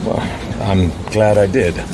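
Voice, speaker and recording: An actor playing a cool, guarded secret agent in a radio drama, clipped, dry and in command. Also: male voice, little pitch movement, slow pace. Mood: relaxed, wry. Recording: music and rumble behind dialogue.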